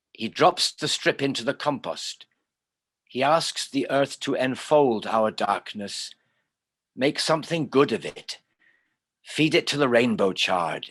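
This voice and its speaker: Slow, dry-sounding man reading poetry. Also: medium pitch, fairly bright tone, husky, dry recording. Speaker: poetry reader. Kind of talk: poetry recitation. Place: online video call.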